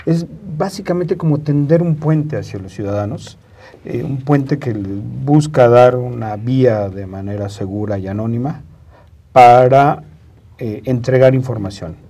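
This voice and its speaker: A man in his fifties with a Mexican accent and a husky voice, explaining things as a guest in a studio interview. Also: mid-range voice, unhurried, mellow. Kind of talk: explanatory panel interview talk. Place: TV studio, indoors, round table. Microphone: lapel mic.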